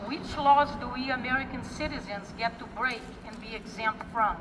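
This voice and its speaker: A woman's voice: on the high side, moderate pace, background noise. Woman rally speaker, emphatic repeated lines, heard from far off through a PA with long reverb. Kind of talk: prepared political rally speech. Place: outdoor rally at a state house. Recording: distant echoey outdoor PA recording.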